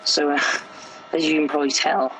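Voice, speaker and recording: A teenage boy with a sore, croaky throat, rambling casually through gameplay commentary with many fillers and restarts. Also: a little high, level tone, normal speed, a bit bright, a little hiss or hum, rough recording. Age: teens. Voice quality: gravelly.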